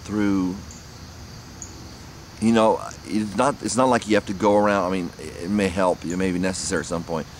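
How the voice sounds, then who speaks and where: A man rambling casually outdoors about faith, speaking haltingly with many restarts. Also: sits low, fairly even intonation, slight background noise. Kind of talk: casual spiritual musing. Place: outdoors near trees.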